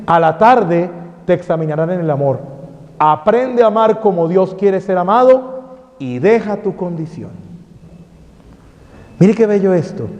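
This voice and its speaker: Male priest, lively preaching, higher voice with a mellow tone, dull lo-fi recording in a reverberant hall. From Colombia. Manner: animated preaching with rhetorical questions. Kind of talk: sermon. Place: parish hall.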